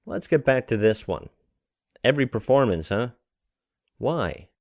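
A man's voice: mid-range voice, big pitch swings, unhurried, gravelly, no echo. An actor playing a genial, wry male playwright in a radio drama, with an inquisitive manner. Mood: curious.

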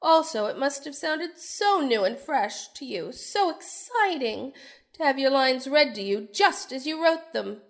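A woman's voice: high-pitched, lively intonation, measured pace. A woman playing a proud, jealous, middle-aged stage actress with biting sarcasm in a theatrical radio drama style. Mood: icy sarcasm. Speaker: voice actor in an audio drama. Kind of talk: theatrical old-fashioned radio drama acting.

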